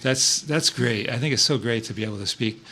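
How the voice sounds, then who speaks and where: A man hosts a casual interview in a voice of average pitch. He asks curious questions, often stops partway through, and fills the gaps with fillers, over a faint background noise. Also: fairly quick, sharp tone. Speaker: podcast host.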